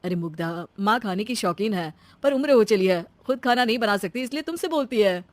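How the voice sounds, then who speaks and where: A woman plays a young husband in a family story. He is calm and teasing as he reasons, in a dry, close recording. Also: medium pitch, a little fast, no echo, lightly acted. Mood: placating. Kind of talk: animated story dialogue.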